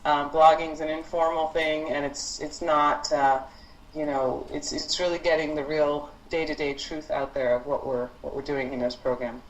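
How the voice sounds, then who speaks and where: A woman speaks as a programme leader on a conference call, her voice carrying clear room echo over faint noise. She explains things informally and haltingly, with an encouraging manner. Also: low voice, level tone.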